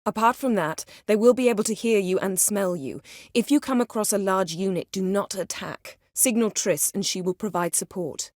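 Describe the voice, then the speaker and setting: Proud game sorceress, kinda low voice, crisp imperious phrasing, wry and composed, very dry recording. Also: female voice, no echo. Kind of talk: video game character dialogue.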